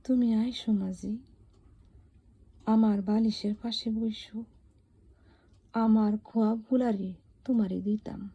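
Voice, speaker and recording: A woman with a rural eastern Bangladeshi accent recites poetry in a soft, husky, wistful and intimate, pleading way. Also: on the high side, fairly even intonation, measured pace, pauses often, muffled recording. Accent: rural eastern Bangladeshi dialect. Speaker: poet reciting own poem. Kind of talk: dramatic poetry recitation in dialect.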